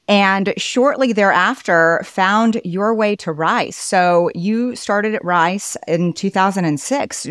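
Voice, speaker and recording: A woman's voice: medium pitch, smooth, no echo, studio quality. A woman hosting a podcast, asking upbeat, conversational questions. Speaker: podcast host. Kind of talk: interview hosting.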